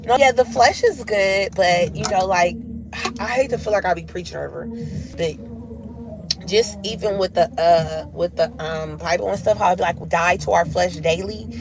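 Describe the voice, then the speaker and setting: A woman vlogger talking in a loose, rambling monologue full of fillers, her voice lowered from smoking cigars. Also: normal speed, background noise.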